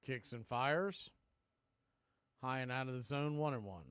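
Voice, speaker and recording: A man's voice: mid-range voice, measured pace, fairly bright tone, clean tone, no echo. Clean, dry-sounding baseball play-by-play man. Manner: clipped phrases, calls rising on the action. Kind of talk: live play-by-play commentary on a stream.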